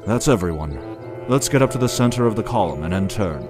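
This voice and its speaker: An actor playing an earnest, righteous royal commander in an animated fantasy drama, formal and resolute. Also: male voice, fairly low pitch, brisk, music in the background, natural voice.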